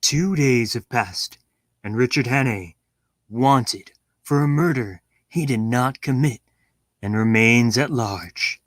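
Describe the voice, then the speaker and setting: A suave announcer of a 1930s suspense radio play, played by a man. He narrates at a very measured, slow pace in a mid-range voice, recorded dry and close. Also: mid-range voice, fairly bright tone, no echo.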